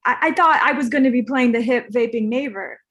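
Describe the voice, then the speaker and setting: Woman voicing young heartthrob actor, higher pitch, vain, hesitant, defensive, sitcom read. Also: a little fast, fairly bright tone. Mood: flustered. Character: Vince, vain laid-back young male movie star. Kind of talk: comedy script table read.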